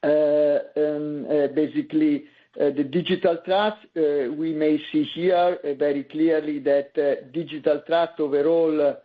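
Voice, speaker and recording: A man's voice: on the high side, level tone, unhurried. A man presenting financial results as a company executive, very hesitant, with frequent filler sounds and repeated words. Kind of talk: semi-scripted earnings call presentation. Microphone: conference call line. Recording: narrow, tinny conference phone line.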